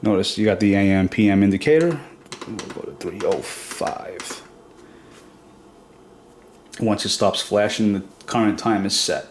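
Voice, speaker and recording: Guy with a head cold, nasal and stuffy, lowish voice, walking thru a gadget demo in a reverby room w/ a little hiss. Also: unhurried, pauses often. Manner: plain step-by-step explaining with fillers. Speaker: product demo host. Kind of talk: casual how-to demonstration.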